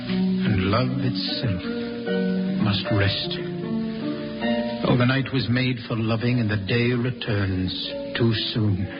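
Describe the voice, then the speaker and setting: A man's voice: mid-range voice, lively intonation. Brooding, idealistic spaceman, muffled old radio. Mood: wistful. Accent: American. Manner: grave, impassioned, halting. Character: idealistic, brooding spaceman, bitterly ashamed of his own kind. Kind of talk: 1950s radio drama acting. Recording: muffled old radio recording, faint music.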